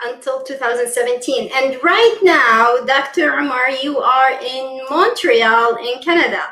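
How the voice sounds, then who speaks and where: A young woman hosts an online interview over a video call. Her voice sits high, and she sounds eager and admiring. The room around her rings noticeably. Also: unhurried, sharp tone, reverberant, poor audio. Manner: enthusiastic, admiring. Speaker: presenter. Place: home room with bookshelves.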